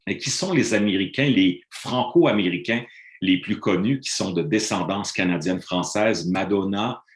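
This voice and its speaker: A middle-aged man gives a measured panel talk as a university lecturer over a video call, pausing often on "euh". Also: sits low. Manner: measured, explanatory, frequent "euh" hesitations. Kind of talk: online panel presentation. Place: room in Montreal, remote presentation. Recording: roomy video call audio.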